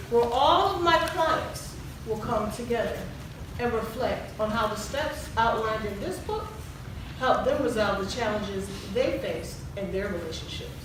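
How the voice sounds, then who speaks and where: A woman's voice: fairly high pitch, animated. Echoey, composed counsellor played by a woman. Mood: composed, instructive. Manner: measured, probing, professional. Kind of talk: stage play dialogue. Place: large echoey indoor stage venue. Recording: very echoey, distant, background noise.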